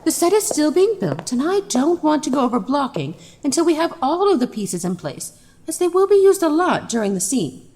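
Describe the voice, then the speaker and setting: An encouraging, focused stage director, played by a woman in an audio drama. The voice sits fairly high and rises and falls in lively sweeps. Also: normal speed. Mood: encouraging, brisk.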